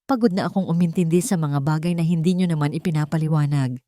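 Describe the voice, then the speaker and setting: A woman's voice: low-pitched, fast, warm tone, no echo, clean studio sound, close to an everyday voice. A woman voicing a hurt young working woman in a dramatic audio love story, firm and impassioned. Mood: defiant.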